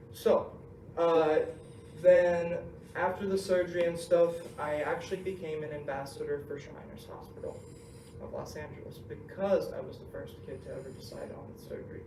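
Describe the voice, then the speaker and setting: A young man from Russia, with no accent, giving a casual, joking talk to a student group, full of ums and uhs. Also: fairly high pitch. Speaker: inspirational speaker. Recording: echoey hall recorded from far off with noise.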